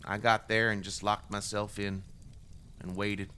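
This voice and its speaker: A man's voice: fairly low pitch, flat delivery, unhurried, pushed and bright, slight background noise. An actor playing a remorseful, bitter former extremist gunman giving testimony in an audio drama, in a blunt, wry, profane conversational way. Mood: haunted, broken.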